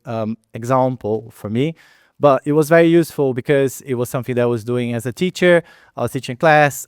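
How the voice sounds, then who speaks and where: A man's voice: medium pitch, clean tone, no room sound, studio quality. A middle-aged man from Brazil with a Brazilian Portuguese accent, giving a conversational conference talk as a product lead, with many fillers, restarts and non-native phrasing. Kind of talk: conference presentation. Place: event hall at a meetup.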